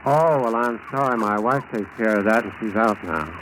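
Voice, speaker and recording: An actor playing a mild, fussy male landlord and secret spy in a 1940s radio drama, speaking a little haltingly. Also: on the low side, a bit bright, natural voice, rough recording. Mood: polite, dismissive. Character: male suspected spy, dog-fussing landlord posing as patriot. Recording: old radio recording, faint noise.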